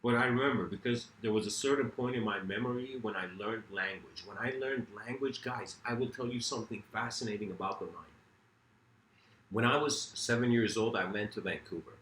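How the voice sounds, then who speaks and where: A man's voice: on the low side, a little fast, a bit bright, a little hiss or hum. Iranian-born man musing in a roomy space. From Iran. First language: Farsi. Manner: rambling, self-correcting, with frequent fillers. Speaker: speaker. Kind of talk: reflective philosophical lecture.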